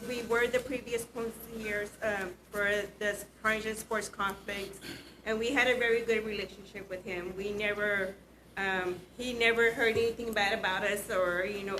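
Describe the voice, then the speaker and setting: A woman who owns a small business, speaking informally at a city council meeting and pausing often with filler words. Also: mid-range voice, pushed and bright, slight background noise, low-quality recording. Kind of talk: public comment at a council meeting. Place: city commission chamber.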